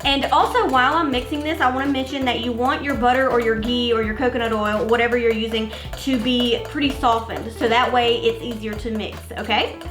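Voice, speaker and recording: A woman's voice: on the high side, fairly even intonation, fairly quick, reverberant, music in the background. A young woman with a Mississippi Southern accent hosting a casual cooking tutorial, explaining each step in a chatty, friendly way. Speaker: cooking vlogger host.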